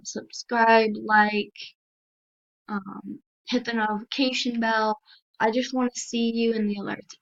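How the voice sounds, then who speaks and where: A tired woman with a dry throat, hosting a casual gaming video in a soft, hesitant, apologetic way with many pauses and ums. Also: a little high, no echo. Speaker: gaming channel host. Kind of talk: casual game commentary. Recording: dull lo-fi mic.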